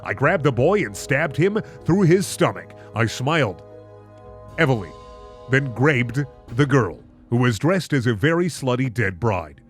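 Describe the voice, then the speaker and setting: A man voicing a weary, smug slasher killer narrating his own murders in a deadpan, dramatic way. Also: mid-range voice, measured pace, slightly rough, soft background music.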